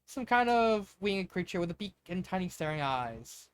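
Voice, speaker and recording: A man's voice: high voice, very animated, normal speed, clean tone. A man reading fanfiction aloud in a casual, informal way, stumbling over words and correcting himself as he goes. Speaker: fanfiction reader, channel host. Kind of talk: casual read-aloud of fanfiction with off-script asides.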